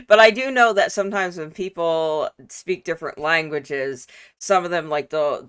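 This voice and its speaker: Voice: medium pitch, a bit bright, clean tone. A co-host of a casual music reaction chat on a video call, talking at length in easygoing, rambling explanations. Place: home room, video call.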